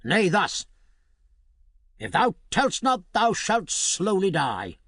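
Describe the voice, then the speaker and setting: A man's voice: high voice, wildly swooping pitch, slow, fairly bright tone, breathy edge, no room sound, clean studio sound, voice pushed up, full character voice. A man voicing a stern, proud king who speaks in a solemn, archaic, commanding way. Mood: threatening.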